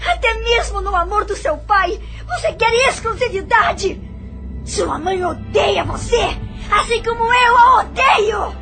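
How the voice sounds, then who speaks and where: A woman's voice: very high voice, animated, measured pace, vocal fry, music in the background, exaggerated voice. An actress playing a bitter, jealous young woman in an audio novel, accusing and scornful in a melodramatic way. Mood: tearful fury.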